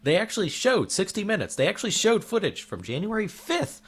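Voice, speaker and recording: A man's voice: high-pitched, sing-song, fairly quick, fairly bright tone, breathy edge. A man delivering an indignant opinion monologue as a political commentator, firing off rhetorical questions while losing his voice.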